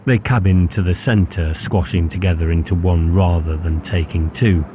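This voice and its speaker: A man's voice: low-pitched, fairly even intonation, moderate pace, mellow, a little hiss or hum. A man narrating a novel as an audiobook, reading scripted literary prose.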